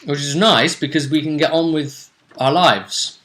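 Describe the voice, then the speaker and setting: Male host, bright forward voice, informal and wry, casual recap chat about a fantasy game. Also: a little high, measured pace, a little hiss or hum. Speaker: host of a tabletop role-play series.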